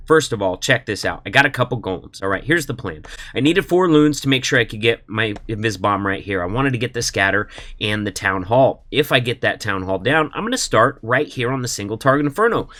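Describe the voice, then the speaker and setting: A man with a head cold and a sniffly voice, giving relaxed, chatty commentary over his own game attacks. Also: mid-range voice. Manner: relaxed, chatty, says "man" and "all right?" often. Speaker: gaming YouTuber. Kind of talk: casual gameplay commentary.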